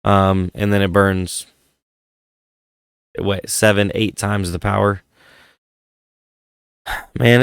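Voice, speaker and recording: Casual vlog host, male, lilt, low and gravelly, voice fading from a cold, dry close sound. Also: no echo. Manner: laid-back, rambling, thinking aloud. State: losing his voice from a head cold. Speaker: tech channel host. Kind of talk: casual commentary on hardware.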